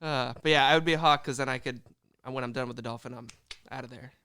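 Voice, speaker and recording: A man as a podcast guest, musing out loud and joking hesitantly in a casual chat. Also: medium pitch, steady tone, fast, clean tone, no echo, clean studio sound.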